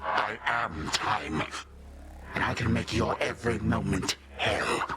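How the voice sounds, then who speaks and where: A man's voice: on the low side, takes it slowly, heavy background noise. An actor playing an ancient, suave Titan grandfather in a video game, courtly, condescending and menacing in his delivery. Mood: stern menace. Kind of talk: theatrical video game villain acting.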